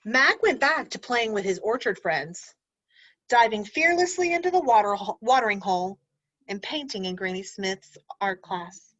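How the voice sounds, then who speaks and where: A woman's voice: mid-range voice, normal speed. A woman teacher reading a picture book aloud to children, stopping often to ask them questions. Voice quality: animated storyteller, asks children questions. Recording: dull lo-fi recording.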